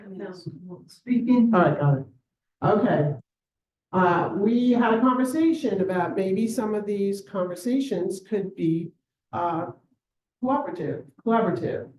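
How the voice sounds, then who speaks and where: A woman representing a community association, speaking to a live audience in a reassuring, halting way with many pauses. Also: medium pitch, a little mellow, slight background noise. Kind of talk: informal remarks to a live audience. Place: community meeting room. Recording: roomy room sound from a distance.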